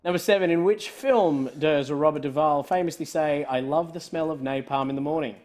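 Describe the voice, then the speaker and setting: A man's voice: on the high side, a little fast. A man born in Scotland hosting a live online quiz, joking with his co-host and laughing often. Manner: jokey, laughing often, trailing off quietly.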